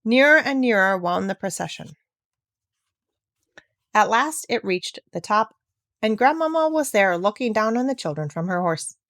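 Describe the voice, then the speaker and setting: A woman reads a children's storybook aloud. Her voice sits at a medium pitch, with careful narrative phrasing. The recording is dry, with little room sound. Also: animated, moderate pace, pauses often, a bit bright, dry recording. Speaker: narrator, reader. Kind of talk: scripted storybook read-aloud.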